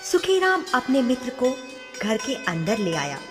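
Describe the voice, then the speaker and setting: A woman narrating a scripted moral story in an expressive storytelling manner. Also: fairly high pitch, unhurried. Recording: long hall reverb, faint music.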